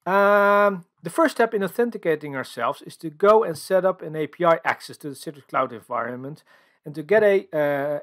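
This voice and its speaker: Male presenter, Dutch accent, higher-pitched voice, explanatory delivery full of uhs, tech-talk narration over slides. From Netherlands. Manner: explanatory, filler-heavy with frequent uhs. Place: voice-over for screen recording and slides.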